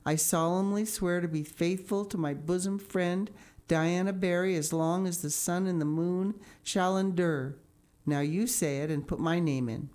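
A woman's voice: lowish voice, measured pace, a little mellow, pitched above the performer's own voice, close to an everyday voice. A woman reading aloud and voicing an eager, dreamy young girl who gushes and pleads breathlessly. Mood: solemn. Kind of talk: audiobook character voice.

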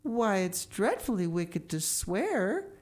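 A woman's voice: medium pitch, big pitch swings, moderate pace, a little mellow, pitched above the performer's own voice. A woman reading aloud and voicing a frank, cheerful young girl who laughs easily and can be a bit prim. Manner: frank, laughing, slightly rebuking. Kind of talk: audiobook character voice.

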